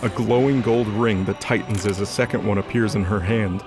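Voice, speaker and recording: A man's voice: on the low side, fairly even intonation, moderate pace. A man narrating an audio drama in a dramatic scripted style, with music behind him.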